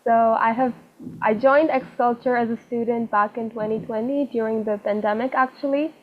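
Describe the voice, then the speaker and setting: A woman's voice: on the high side, steady tone, normal speed, slight background noise. A young woman from Pakistan, a coaching manager, leading an online icebreaker in a friendly, encouraging way. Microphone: video call. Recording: tinny phone-like call audio.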